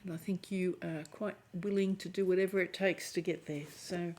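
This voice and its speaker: A woman giving a chatty tarot reading to camera, hoarse and often clearing her throat as her voice fades. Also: fairly low pitch, fairly even intonation, moderate pace, darkish tone. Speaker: tarot reader.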